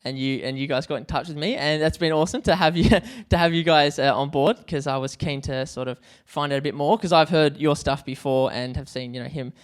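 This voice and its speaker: A man's voice: on the high side, fairly quick, hardly any pauses, clear, resonant tone. A man with an Australian accent hosting a casual interview, phrasing his questions informally and a little hesitantly. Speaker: podcast host.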